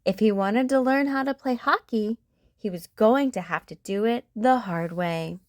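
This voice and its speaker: A woman hosting a children's storytime, reading a picture book aloud with an expressive, sing-song delivery. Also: mid-range voice, measured pace, no echo, clean studio sound.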